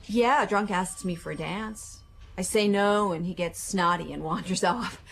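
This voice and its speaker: A woman's voice: medium pitch, moderate pace, vocal fry, lightly acted. A woman playing a chatty, hesitant waitress in her thirties giving a statement in a radio drama. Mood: chatty, recalling. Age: 30s. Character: thirty-six-year-old working-class waitress giving a police statement.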